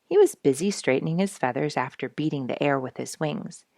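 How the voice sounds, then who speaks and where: A woman's voice: sits low, fairly quick, creaky, a little mellow, no echo, clean studio sound. A woman reading a children's storybook aloud expressively, speaking directly to young listeners. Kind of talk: animated storybook read-aloud.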